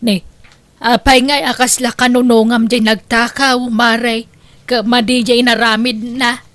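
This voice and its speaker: A woman's voice: on the high side, level tone, unhurried, fairly bright tone, dry recording. A woman playing a sensible, outspoken neighbour who argues back in a comedic drama. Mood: argumentative. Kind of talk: comedic radio-style drama acting.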